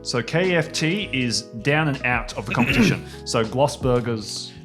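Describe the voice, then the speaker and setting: Game master: man, mid-pitched, animated delivery, casual storytelling over music. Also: moderate pace. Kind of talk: casual narration of a tabletop game.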